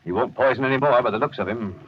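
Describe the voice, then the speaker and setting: Husky police commissioner played by a man. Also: mid-range voice, racing, no room sound, close to an everyday voice. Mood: sober, curious. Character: gruff police commissioner and his searching officers. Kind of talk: 1930s radio acting. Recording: muffled old 1930s radio recording.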